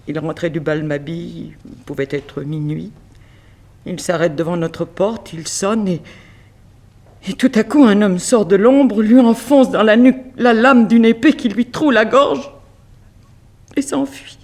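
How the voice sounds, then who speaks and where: Dignified, grieving fashion house director. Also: female voice, mid-range voice, unhurried, darkish tone. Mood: formal sorrow, then distressed. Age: middle-aged. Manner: formal, sorrowful, theatrical. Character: bereaved mother, dignified head of a fashion house. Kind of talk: 1960s French radio mystery acting.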